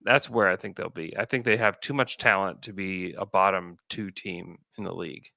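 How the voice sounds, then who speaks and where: A man's voice: deep voice, steady tone, moderate pace, vocal fry, sharp tone, no echo. A man hosting a sports podcast, discussing basketball in a casual, conversational way.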